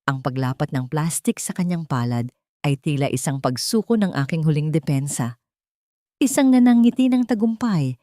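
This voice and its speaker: A woman narrating a dramatic scripted story in a solemn, measured storytelling manner. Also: low-pitched, animated, warm tone, no echo, studio quality.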